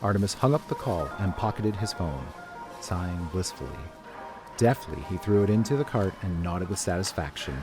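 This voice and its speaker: A man's voice: low voice, expressive, moderate pace. A man reading scripted story narration as a narrator, with music behind him.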